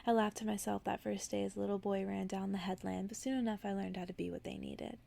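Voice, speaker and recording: A woman softly reading a bedtime story in an ASMR style, hushed and gentle, slipping into whispers. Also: mid-range voice, little pitch movement, fast, gravelly. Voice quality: soft, partly whispered. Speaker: ASMR narrator.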